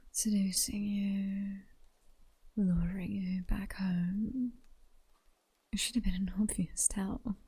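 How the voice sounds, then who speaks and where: Woman playing a British vampire queen, ASMR roleplay, very breathy whisper, soft mellow tone, slow, sultry, mocking, condescending. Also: medium pitch, heavily acted. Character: seductive, mocking vampire queen toying with captured prey. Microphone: close-up ASMR microphone.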